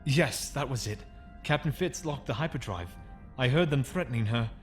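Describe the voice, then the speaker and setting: Man acting a nervous, halting, cowardly spacer. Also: medium pitch, expressive, close to an everyday voice. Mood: frightened, ashamed. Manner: hesitant, halting, apologetic. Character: nervous, apologetic, cowardly male freighter crewman. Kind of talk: sci-fi audio comic dub. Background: music.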